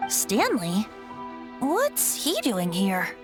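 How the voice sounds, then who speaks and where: A woman's voice: mid-range voice, huge pitch swings, drawn-out words, over music, heavily acted. A woman voicing a boyish young male bard in a fantasy video game, playful, eloquent and theatrical. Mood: uneasy. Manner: theatrical, poetic, teasing.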